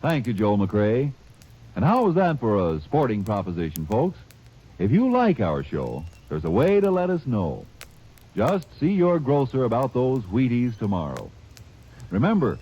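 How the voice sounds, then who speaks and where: A man's voice: mellow, husky. A man announcing a 1950s radio commercial in a hearty, salesy way. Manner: hearty, salesy, rising pitch. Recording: dull old radio transcription.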